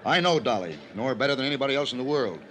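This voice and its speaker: A man playing an older business manager in a vintage radio mystery. His middle-pitched voice is earnest and pleading, protective yet evasive. The old recording carries faint noise. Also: animated, very fast, fairly bright tone, lightly acted. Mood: urgent, insistent. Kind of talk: old-time radio drama acting.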